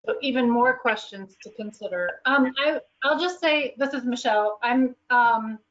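A woman with a mid-range voice talks through points in an informal meeting. Her delivery is halting and hesitant, and the video call makes her sound dull and lo-fi. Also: mid-range voice, a bit bright. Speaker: school board chair in a meeting.

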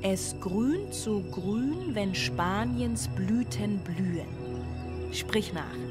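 A strained single mother in a radio drama, drifting into dreamy euphoria. Her mid-range voice circles in poetic, repeated phrases. Music plays clearly underneath. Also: medium pitch, animated, extremely slow. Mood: coaxing. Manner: incantatory, poetic, repetitive. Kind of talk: German radio drama monologue.

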